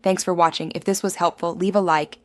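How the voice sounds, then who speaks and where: A woman's voice: fairly low pitch, steady tone, fairly quick, dry recording. Dry-recorded woman channel announcer. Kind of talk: scripted video intro and outro.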